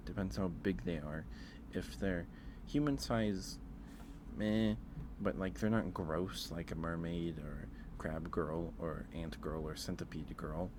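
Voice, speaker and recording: Low-voiced guy on an asmr stream, slow chatty rambling, repeats himself, clear background noise. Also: fairly even intonation. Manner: hesitant, repeats phrases as he thinks aloud. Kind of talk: ASMR livestream, casual chat ranking things.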